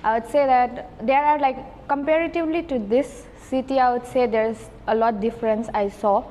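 A woman's voice: fairly high pitch, moderate pace, dark tone, in a large hall, a little hiss or hum. Chatty young woman from Nepal. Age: young adult. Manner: chatty, many "like" and "I would say" fillers. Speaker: guest, engineering student. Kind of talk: casual podcast chat. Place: café.

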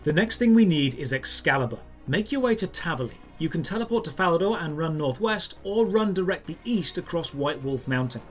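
Male guide narrator, slightly high register, scripted instructional delivery over background music. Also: expressive, a little fast, few pauses. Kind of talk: scripted step-by-step tutorial narration.

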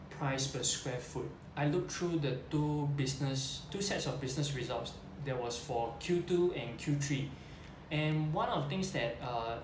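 A man's voice: medium pitch, slight background noise. Singaporean man musing on camera, roomy sound. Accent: Singaporean English. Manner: hesitant, many "uh" fillers and tag "right?"; gestures with hands while talking. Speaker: investing YouTuber. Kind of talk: casual talking-head explainer. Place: small plain room indoors. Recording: echoey room.

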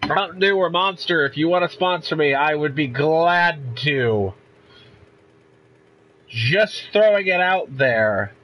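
A man's voice: fairly high pitch, lively intonation, slow, fairly bright tone, slight background noise. A young man with a congested voice from a cold, chatting casually and rambling through a let's play from a room at home. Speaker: gaming YouTuber.

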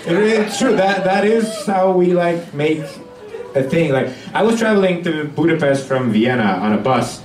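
A young man from Hungary doing a conversational, halting stand-up routine with acted-out dialogue, recorded from afar in a small club. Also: mid-range voice. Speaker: stand-up comedian. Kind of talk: live stand-up comedy routine. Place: small dark comedy club stage, audience present. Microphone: handheld stage mic heard from a far camera. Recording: distant camera recording, echoey club, loud audience noise.

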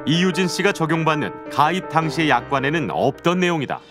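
A man's voice: a little high, lively intonation, fairly quick, over music. A man reading scripted narration for an investigative programme as its narrator.

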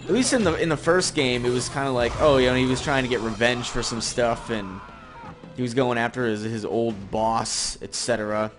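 A man's voice: mid-range voice, moderate pace. Rambling, grumbling young man over game music. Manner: rambling, sarcastic, grumbling, sweary. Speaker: gaming video host. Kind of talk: casual gameplay commentary. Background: game music and effects.

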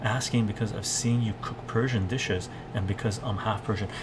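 A middle-aged man with a lowish voice hosts a casual cooking livestream. He muses in a halting way as he reads out comments and answers them. Loud kitchen noise, with chopping and clatter, runs behind his talk. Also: steady tone. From Canada, born in Iran. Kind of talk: casual livestream chat while cooking, reading viewer comments. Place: home kitchen, indoors.

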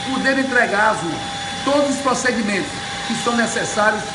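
A man, giving an emphatic, deliberate update to camera like a public speaker. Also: high-pitched. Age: 50s. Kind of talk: informal speech to camera. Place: bare indoor room by windows. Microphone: camera or phone a short distance away. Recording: echoey room with loud machinery noise.

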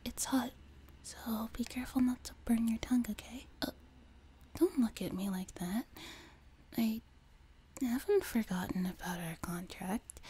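A woman voicing a sweet, teasing, doting young demon girl in a soft, breathy whispered ASMR roleplay, close to a binaural mic. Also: fairly high pitch. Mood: gentle, sheepish. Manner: gentle, slow, teasing, intimate. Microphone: close binaural microphone.

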